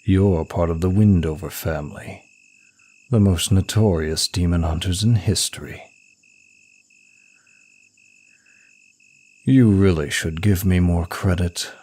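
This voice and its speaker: A man playing a seductive, arrogant demon prince of lust in an intimate ASMR roleplay, speaking in a smug, suave, deliberate manner. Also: low-pitched, warm tone. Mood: smug, probing.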